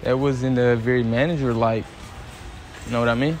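Young man, casual slang, prank vlog host, mid pitch, light ambient noise. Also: steady tone, normal speed. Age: young adult. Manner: slangy, calling people bro and boss. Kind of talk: casual chat in a hidden prank. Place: large warehouse store, later parking lot. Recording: noisy store and outdoor ambience.

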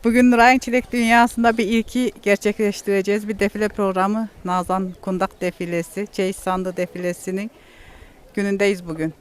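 A woman's voice: medium pitch, fairly even intonation, a little fast. A woman with a mid-range voice talks as the owner of a farm. She answers interview questions casually, speaking outdoors. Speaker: farm owner and event host.

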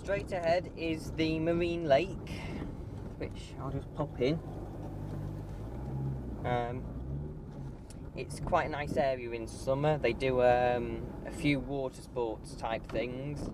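A man's voice: mid-range voice, fairly even intonation, slow. A man giving a casual, rambling driving tour from inside his car, with many ums and restarts. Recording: loud car engine and road noise.

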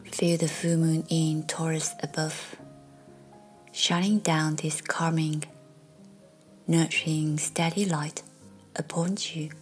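A woman guiding a meditation in a soft, soothing, breathy voice that often nears a whisper, leaving long pauses. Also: deep voice, flat delivery. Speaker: meditation guide. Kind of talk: guided meditation narration. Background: faint music.

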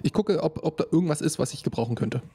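A man playing a tabletop role-playing game, halting as he improvises and turning formal when in character. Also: on the high side, animated, gravelly, dark tone, husky. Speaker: player in tabletop role-play. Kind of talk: improvised in-character play and casual chat.

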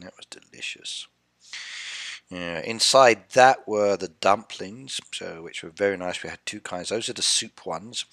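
Chatty man streamer, bright dry voice. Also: low voice, gravelly, dry recording. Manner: chatty, playful, reads chat aloud and teases viewers. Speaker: game streamer. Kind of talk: casual live-stream chat. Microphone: headset with desk mic.

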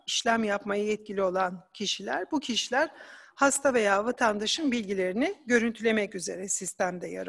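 Woman presenter, slightly high voice, measured explanatory delivery, hall reverb. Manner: measured, explanatory, with hesitation fillers. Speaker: conference presenter. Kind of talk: academic slide presentation.

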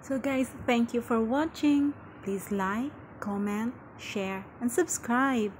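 A young adult woman vlogger reading a scripted travel voice-over in an even, informative tone. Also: fairly high pitch, slow pace, background noise.

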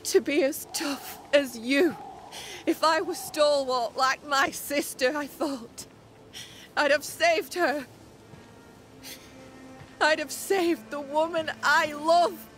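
A woman playing a guilt-ridden, grieving younger sister in a dramatic video game, slow and anguished, with archaic phrasing. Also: high voice, steady tone, a bit bright, soft background music. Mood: anguished, bitter.

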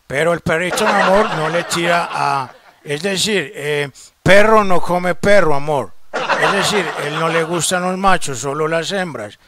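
A Colombian man making a comedic prank call, smooth-talking and full of pet names. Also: fairly high pitch, a little slow. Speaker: prank caller, show host. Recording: very noisy phone-call recording.

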